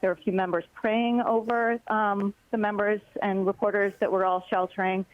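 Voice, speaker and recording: A woman's voice: medium pitch, flat delivery, moderate pace, dry recording. A young woman reporter phoning in a live report, recounting events hesitantly with many filler words. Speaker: congressional reporter. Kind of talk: live phone report on breaking news. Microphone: phone. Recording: muffled lo-fi phone line.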